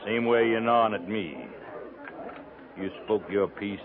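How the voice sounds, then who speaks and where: An actor playing a cocksure, sardonic frontier buffalo hunter with folksy western phrasing in a 1950s radio drama. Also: male voice, on the low side, a little slow, a crowd in the background, natural voice. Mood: defiant needling. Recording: tinny, band-limited 1950s radio recording.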